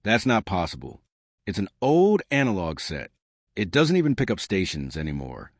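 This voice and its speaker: An anxious, disbelieving man in a horror tale, voiced by a male narrator. He questions in a shaken way, pitch moving a lot. The sound is close and dry. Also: wildly swooping pitch, normal speed, no echo, heavily acted. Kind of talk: horror storybook dialogue.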